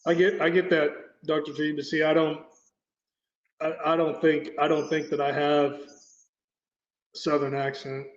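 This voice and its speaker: Man, raised-pitch voice, blunt, stammering restarts, echoey muffled call audio. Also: flat delivery, measured pace, pauses often. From Oklahoma. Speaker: panel guest. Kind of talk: casual livestream chat. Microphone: online voice call.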